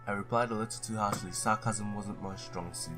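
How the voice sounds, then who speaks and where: Hard-boiled male ex-cop detective for a visual novel, read by a man, lower register, wry, game music clearly behind. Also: fairly even intonation, brisk, natural voice. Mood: brooding narration.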